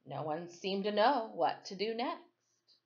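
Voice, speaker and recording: Slow-paced woman teacher reading aloud. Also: medium pitch, very animated. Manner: deliberate, checks listeners follow with questions. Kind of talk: dramatic read-aloud with explanatory asides. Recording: dull, lo-fi sound.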